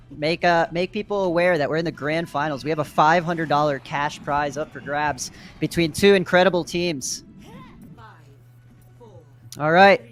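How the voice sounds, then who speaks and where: A man doing energetic play-by-play commentary for an online esports tournament. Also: sits high. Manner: energetic, rises to excited shouts in big plays. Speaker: play-by-play caster.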